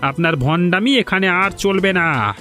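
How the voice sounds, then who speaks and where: A man's voice: high-pitched, a little slow, sharp tone, faint music behind. A man voicing a confident, defiant undercover village policeman in a cartoon, with an assured, challenging manner. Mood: confident, stern. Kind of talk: cartoon voice acting.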